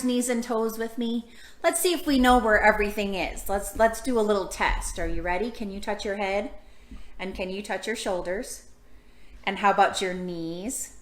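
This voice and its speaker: A woman leading a storytime for young children, reading aloud and asking her listeners questions in an encouraging way. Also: medium pitch. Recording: roomy, echoing room sound.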